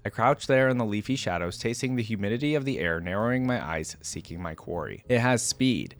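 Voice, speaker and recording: A man with a fairly low voice hosts a reaction video. He reads fiction aloud in a dramatic storytelling style. His own commentary is casual and conversational. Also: expressive, a little fast.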